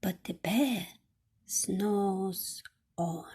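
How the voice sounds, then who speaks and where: Woman doing kids storytime, really husky n breathy, super slow, dramatic phrasing. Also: mid-range voice, mellow. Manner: dramatic, deliberate phrasing for children. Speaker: storybook reader. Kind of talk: expressive children's storytime read-aloud.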